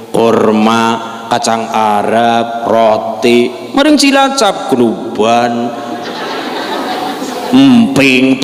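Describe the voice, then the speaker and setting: A male preacher with a Javanese accent delivers a joking sermon, slowly and with a lively, swooping pitch. He acts out short exchanges between characters. His voice rings through a large hall with long reverb. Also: a little hiss or hum. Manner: jokey storyteller, acts out dialogues with voice shifts. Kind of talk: humorous Islamic sermon.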